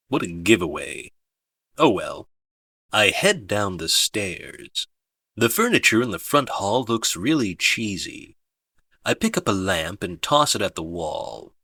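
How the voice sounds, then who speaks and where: A male audiobook narrator with a low voice and a bright, focused edge. He reads first-person fiction slowly and with understatement, in a very dry, close recording. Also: husky, no echo.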